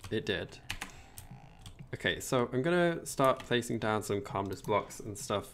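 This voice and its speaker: Chatty young English guy, gravelly low voice. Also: animated, a little slow, a bit bright, a little hiss or hum. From England. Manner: chatty, rambling, reads chat aloud. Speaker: streamer. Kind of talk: casual livestream chat while gaming.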